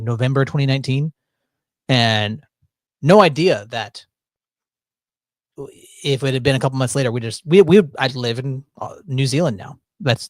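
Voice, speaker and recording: A man's voice: mid-range voice, no room sound, studio quality. A man hosting a casual chat, rambling with stops and restarts and a joking tone. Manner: rambling, self-interrupting, jokey. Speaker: podcast host.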